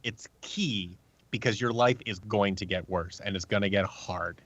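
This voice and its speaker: A man's voice: lowish voice, moderate pace, fairly bright tone, no room sound. A man speaking candidly and emphatically as an interview guest, telling anecdotes in a conversational way. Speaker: podcast guest, audio drama producer. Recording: dull, lo-fi call audio.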